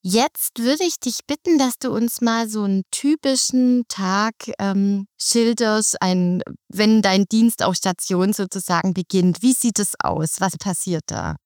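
A woman's voice: medium pitch, expressive, a little slow, few pauses, a little mellow, dry recording, clean studio sound. A woman hosting a podcast, welcoming her guest in a friendly way and asking her questions. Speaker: podcast host, educator. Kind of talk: podcast interview.

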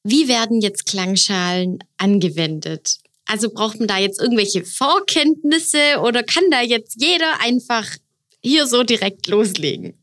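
A woman's voice: fairly high pitch, lively intonation, unhurried. A woman hosting a podcast interview, asking friendly questions and encouraging her guest. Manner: warm, encouraging, frequent affirming murmurs. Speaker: podcast host and education officer.

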